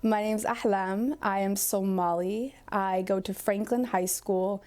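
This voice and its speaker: An eighteen-year-old woman, a high school senior, answering interview questions in a chatty way, with lots of "like". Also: fairly even intonation, a little slow, background noise. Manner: chatty, uses "like" a lot.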